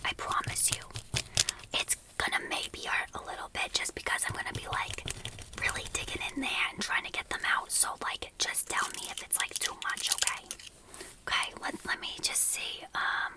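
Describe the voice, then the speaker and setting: A woman whispering close to the mic as an aunt combing out lice, chatty and reassuring, with gossipy asides. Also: poor audio. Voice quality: whispered throughout. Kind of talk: whispered ASMR roleplay.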